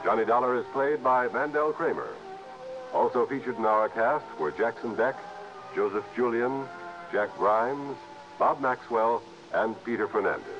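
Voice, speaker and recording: A man's voice: mid-range voice, normal speed, lo-fi sound. A man announcing commercials and credits on 1960s radio with a polished, emphatic sales delivery. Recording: band-limited old radio recording, faint music.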